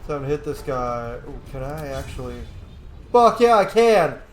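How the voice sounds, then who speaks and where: A man giving casual, excitable game commentary with plenty of swearing. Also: on the high side, normal speed, noisy background. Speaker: gaming video creator. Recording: roomy echo, game music behind. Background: game music.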